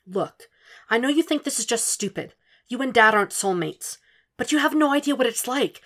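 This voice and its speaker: A woman's voice: lively intonation, moderate pace. A woman voicing a distraught young woman who argues on the phone and pleads for reassurance. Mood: pleading. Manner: pleading, high-strung, shouting in anger.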